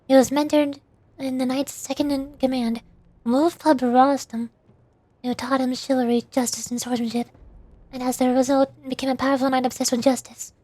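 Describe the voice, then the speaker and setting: A woman's voice: high voice, flat delivery, normal speed, mellow, no room sound. A woman streaming a game, chatting casually and reading lore aloud in a giggly, easily puzzled, self-deprecating manner.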